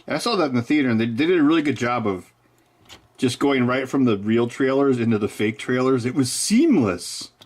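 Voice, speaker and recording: A man's voice: mid-range voice, animated, a little fast. A man hosting a live stream, rambling casually and joking, laughing often.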